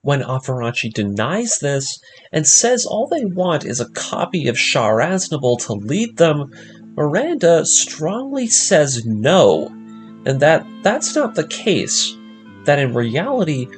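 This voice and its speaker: A man narrating a scripted story breakdown as an enthusiastic fan host, with expressive, emphatic delivery. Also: on the high side, slow pace. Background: background music.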